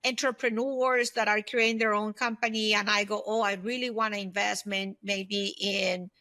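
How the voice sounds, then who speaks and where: A woman in her fifties answering interview questions conversationally, thinking aloud in short, halting bursts. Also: mid-range voice, level tone, bright, forceful tone. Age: 50s. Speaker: podcast guest, solopreneur and former aerospace executive.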